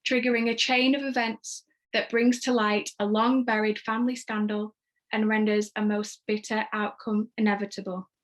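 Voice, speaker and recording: A woman giving scripted narration, reading out screenplay stage directions. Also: medium pitch. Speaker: stage-direction reader and host.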